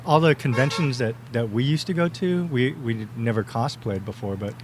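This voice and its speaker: A man's voice: medium pitch, lively intonation, normal speed, noisy background. A young man chatting casually as a podcast guest, rambling with many fillers and easy laughs. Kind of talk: casual interview chat.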